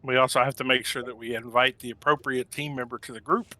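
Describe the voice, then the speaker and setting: A man's voice: mid-range voice, steady tone, quick pace, sharp tone, no echo. A man playing in a gaming group, chatting casually with teasing, joking banter.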